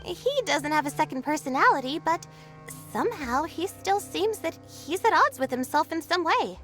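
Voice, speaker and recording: A high, gentle girl's voice in an anime-style game. She muses hesitantly and thoughtfully, with faint music underneath. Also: expressive, normal speed. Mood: pensive. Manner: musing, hesitant. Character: gentle, pensive girl, thoughtful observer.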